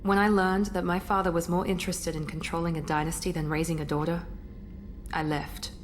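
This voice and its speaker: A woman's voice: lowish voice, flat delivery, a little fast, background noise, lightly acted. A woman plays a proud, guarded operative in a sci-fi game. She speaks, formal and tightly controlled, over faint ship ambience. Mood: guarded, serious. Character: proud, guarded, genetically engineered female operative. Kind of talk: video game character acting.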